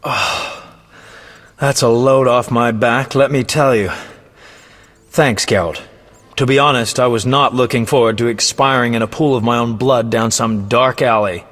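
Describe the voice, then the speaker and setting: A man's voice: mid-range voice, fairly even intonation, unhurried, fairly bright tone, close to an everyday voice. A man voicing a flippant bard in a video game, with a wry, flowery, theatrical way of telling things. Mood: relieved, wry.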